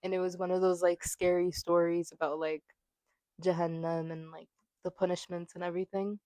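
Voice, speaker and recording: Chatty young woman, low voice. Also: level tone, normal speed, darkish tone, dry recording. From New York. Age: 20s. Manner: chatty, giggly, many fillers. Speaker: podcast co-host. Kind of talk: casual chat. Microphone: small desk mic.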